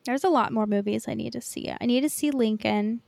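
A woman's voice: a little high, fairly quick, vocal fry, a little mellow, dry recording. A woman co-hosting a podcast in casual pop-culture chat, informal and enthusiastic. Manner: informal, enthusiastic, swears freely. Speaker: podcast co-host.

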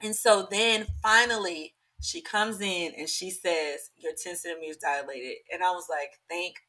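Young adult woman, bright with a touch of hoarseness; casual animated storyteller. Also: lowish voice, measured pace, rough recording. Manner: chatty, animated, many fillers. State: slightly hoarse. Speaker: podcast guest.

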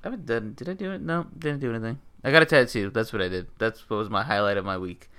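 Smooth-voiced laid-back young podcast host. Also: male voice, mid-range voice, fast talker, pushed and bright. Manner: laid-back, swears a lot. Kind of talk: casual podcast chat.

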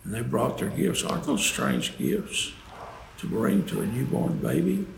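An older man preaches through storytelling, his low voice very gravelly and creaky. He is folksy and emphatic, swooping widely in pitch and pausing for effect. The room adds echo. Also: very animated. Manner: folksy, dramatic pauses and emphasis. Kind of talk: devotional holiday storytelling to an audience. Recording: roomy echo, faint background noise.

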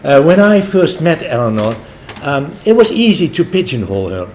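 A man's voice: fairly high pitch, sing-song, moderate pace, a little hiss or hum. Hesitant Dutch man with American English. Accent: American English, Dutch first language. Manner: hesitant, many ums and uhs. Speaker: academic colleague giving vote of thanks. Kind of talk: informal spoken thanks.

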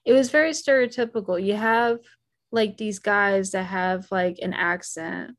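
A woman's voice: mid-range voice, a little slow. Casual chatty woman, full of fillers. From United States. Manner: lots of "like" and "you know", restarting sentences. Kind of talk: casual conversation.

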